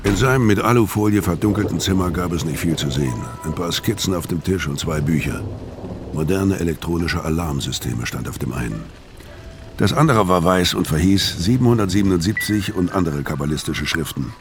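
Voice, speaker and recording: An actor playing a weary middle-aged mob killer who narrates a noir radio drama in a laconic, matter-of-fact way. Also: male voice, sits low, lively intonation, a little fast, music in the background. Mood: observant, detached.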